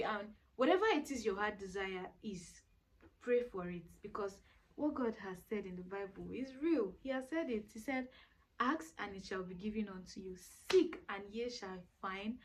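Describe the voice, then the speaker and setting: A woman's voice: a little high, bright, forceful tone. A woman in her twenties talking to camera in a casual vlog, giving earnest, preachy life advice and repeating herself for emphasis. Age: 20s. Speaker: vlogger.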